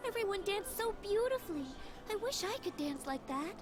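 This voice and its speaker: A woman's voice: extremely high pitch, lively intonation, fairly quick, over music. An actress playing a prim, polite and earnest young girl in a dubbed fantasy video game. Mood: wistful. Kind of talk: English anime-style video game dub.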